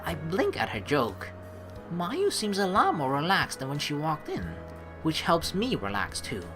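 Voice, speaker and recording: A woman let's player reading game text aloud expressively, mixed with casual commentary. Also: deep voice. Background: game music.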